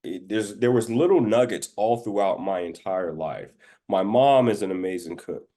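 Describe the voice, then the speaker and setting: Male podcast guest, low voice, from the rural American South, relaxed informal storytelling. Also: normal speed. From southern United States, rural Georgia and Florida Panhandle. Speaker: interview guest, actor. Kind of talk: casual conversational storytelling.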